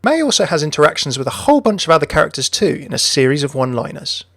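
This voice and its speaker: A man's voice: a little high, very animated, quick pace, fairly bright tone, no echo, clean studio sound. A man presenting a scripted video narration about a game character. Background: music.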